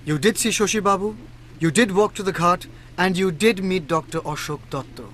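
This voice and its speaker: An actor with an Indian accent playing a shrewd, self-assured detective in a radio drama, speaking in a measured, deliberate way. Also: male voice, sits high, a bit bright, slight background noise. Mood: probing, accusing.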